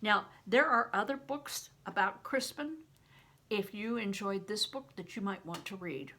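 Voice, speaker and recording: An older woman reading aloud in a deliberate, expressive way, with informal asides of her own. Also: mid-range voice. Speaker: read-aloud host.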